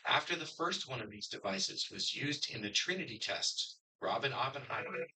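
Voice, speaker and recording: Man, middle-aged, quiz moderator, very bright tone, upbeat announcing. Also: mid-range voice, animated, normal speed, low-quality recording. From Connecticut. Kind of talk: reading quiz questions.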